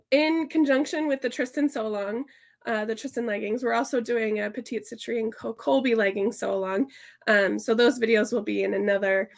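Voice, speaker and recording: A woman hosting a casual sewing tutorial, her voice hoarse and stuffy from a sinus infection, chatting upbeat with lots of fillers and restarts. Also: a little high. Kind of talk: casual sew-along tutorial chat.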